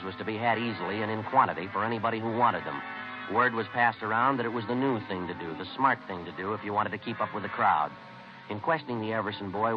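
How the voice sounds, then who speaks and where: Man as deadpan cop narrator, very low voice, rapid clipped delivery, vintage radio crime drama. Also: lightly acted. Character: terse, deadpan police detective narrating his case. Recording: muffled vintage radio recording.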